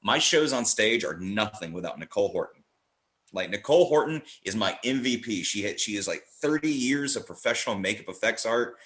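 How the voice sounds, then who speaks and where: A man's voice: fairly low pitch, animated, fairly quick, a bit bright. A man chatting casually as a podcast guest, talking in an enthusiastic, rambling way. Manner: enthusiastic, rambling, acts out little quotes. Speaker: podcast guest, horror theatre director. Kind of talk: casual chat. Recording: roomy, echoey sound.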